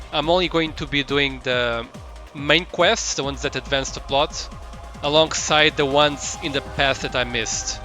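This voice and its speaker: Male game streamer, bright forward voice, casual rambling commentary, hesitant word-searching, music in the background. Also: medium pitch. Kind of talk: casual live gameplay commentary.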